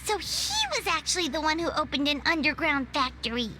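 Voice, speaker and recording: An actress voicing a tiny, excitable female floating companion who talks about herself in the third person. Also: high voice, fairly quick. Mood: indignant. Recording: game audio with music behind.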